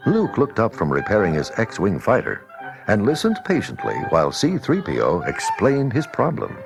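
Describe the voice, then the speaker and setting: A man's voice: fairly low pitch, huge pitch swings, moderate pace, vocal fry, over music. A man narrating a scripted children's read-along story.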